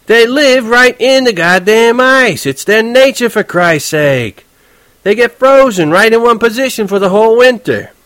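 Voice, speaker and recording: A man voicing an impatient middle-aged cab driver who talks rough street slang and keeps yelling. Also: high-pitched, animated, moderate pace, dry recording, pitched above the performer's own voice. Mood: irritated, yelling.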